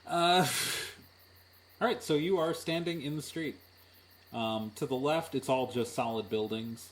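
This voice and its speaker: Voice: mid-range voice, unhurried. A game master running a tabletop game in casual, teasing banter and narration.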